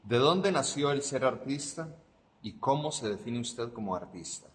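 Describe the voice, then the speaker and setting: A man interviewing an artist, asking polite, measured formal questions. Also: mid-range voice, expressive. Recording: reverberant hall with faint ambient noise.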